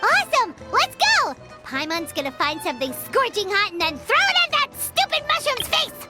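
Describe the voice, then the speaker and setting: A woman voicing a tiny, excitable female companion in a video game, bubbly and loud. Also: extremely high pitch, normal speed. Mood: excited. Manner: bubbly, loud, talks of herself by name. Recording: game dialogue over music.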